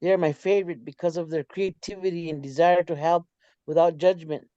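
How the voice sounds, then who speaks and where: A man hosting reads viewer comments aloud in a measured, plain way. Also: fairly high pitch, steady tone, dark tone, clean tone, no echo. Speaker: host reading viewer comments. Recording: dull, muffled lo-fi audio.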